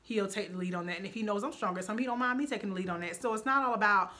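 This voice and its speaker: Bright-voiced woman chatting earnestly. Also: mid-range voice, rapid-fire. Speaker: vlog co-host. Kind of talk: casual couple vlog chat.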